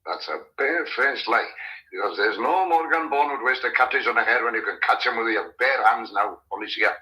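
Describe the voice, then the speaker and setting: An actor playing a wry, observant old rustic farmhand, folksy and colourful, in a vintage radio drama. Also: male voice, mid-range voice, brisk, sharp tone, low-quality recording. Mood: gossipy, knowing.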